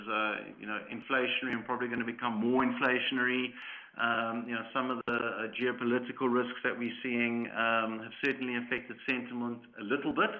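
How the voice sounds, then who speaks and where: A man speaking as a company executive, answering questions on an earnings call in hesitant, measured corporate phrasing. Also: mid-range voice. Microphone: conference call line. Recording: muffled lo-fi conference call line.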